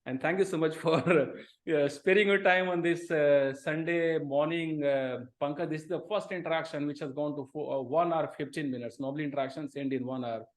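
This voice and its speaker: A middle-aged man with an Indian English accent hosts an interview over a video call, warmly effusive and lavish with praise. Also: on the high side, fairly even intonation, a little fast. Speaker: host.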